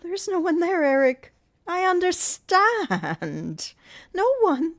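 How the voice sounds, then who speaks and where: A woman's voice: wildly swooping pitch, takes it slowly, full character voice. A woman doing a frightened pleading girl. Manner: high, pleading, breathless. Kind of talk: audiobook character voice.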